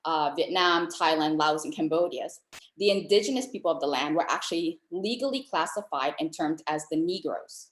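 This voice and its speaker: A woman's voice: sits low, normal speed, roomy sound, rough recording. A woman from Southeast Asia speaking as a panelist, telling her story earnestly. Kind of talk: panel discussion.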